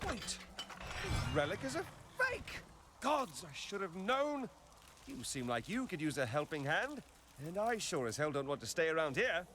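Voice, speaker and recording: An actor playing a roguish young scoundrel in a video game, a glib, theatrical and wheedling smooth talker. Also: male voice, fairly high pitch, very animated, moderate pace, background noise. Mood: exasperated. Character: roguish young male scoundrel, flippant smooth-talking charmer.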